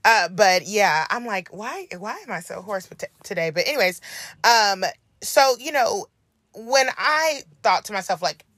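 Hoarse woman podcaster, bright dry sound. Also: medium pitch, expressive, no echo. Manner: earnest, encouraging, drawn-out emphasis. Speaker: podcast co-host. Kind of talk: casual podcast chat.

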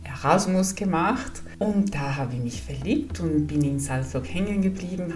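Low-voiced Italian-accented woman podcaster. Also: far from the mic. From Italy. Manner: gentle, encouraging, addresses listener directly. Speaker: psychologist and podcast host. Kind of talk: calm explanatory podcast talk.

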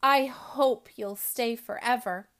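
A woman's voice: a little high, slow, a bit bright. A woman voicing a spoiled little girl who whines and bosses people around. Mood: polite. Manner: whiny and bossy.

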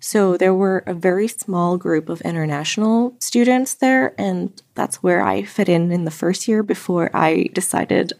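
A woman's voice: lowish voice, a little slow, few pauses, a little mellow, no room sound, clean studio sound. A woman from Thailand with an American-leaning accent, co-hosting a podcast and reflecting casually on her own experience. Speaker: podcast co-host. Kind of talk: casual conversation, personal reflection.

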